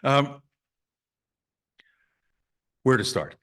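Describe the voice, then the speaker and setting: A man from Germany, a lecturer speaking off the cuff at a panel into a handheld microphone, loud and halting with many fillers. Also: mid-range voice, big pitch swings. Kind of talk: unscripted panel remarks.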